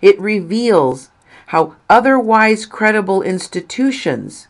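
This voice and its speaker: A woman reading a written article aloud in a deliberate, emphatic way with pauses between phrases. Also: mid-range voice, very animated. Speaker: reader of an article.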